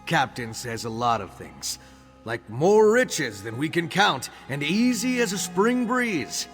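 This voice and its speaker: An actor playing a grumbling, sarcastic bookish adventurer with a dry manner in a fantasy video game. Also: male voice, medium pitch, fairly bright tone. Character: sardonic, grumbling bookish adventurer, reluctant researcher. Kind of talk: scripted video game dialogue. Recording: reverberant hall, game music underneath.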